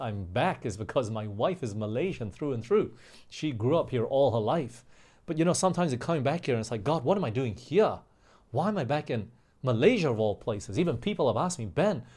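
A man preaching a sermon in an emphatic way, slowing down on key phrases and putting rhetorical questions to his listeners. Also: mid-range voice, big pitch swings, a little mellow, slightly rough. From grew up overseas in England, Australia and the US.

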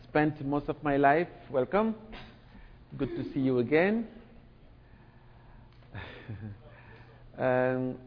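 A man's voice: mid-range voice, very slow, pauses often, slight background noise. Middle-aged man speaking in a reverberant hall. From Senegal, raised in France. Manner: relaxed, conversational with fillers and asides. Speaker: speaker, church representative. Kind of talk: sermon-style lecture. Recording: dull sound with long hall reverb.

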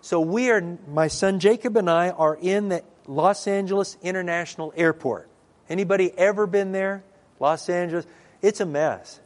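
A middle-aged man, a pastor, telling stories in a sermon and acting out the dialogue in a lively way. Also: sits high, normal speed. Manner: lively storyteller who acts out dialogue. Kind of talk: storytelling sermon. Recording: long reverb in a large hall.

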